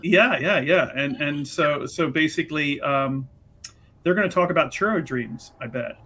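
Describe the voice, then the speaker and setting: Halting, higher-pitched man with wry delivery. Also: sharp tone, a little hiss or hum. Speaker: player on an actual play show. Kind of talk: casual recap and character introduction.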